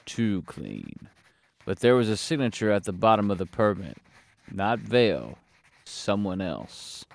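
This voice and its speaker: A man playing a brooding, world-weary private detective, narrating a noir audio drama in terse, deadpan, wry lines with a gravelly voice. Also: sits low, heavily acted.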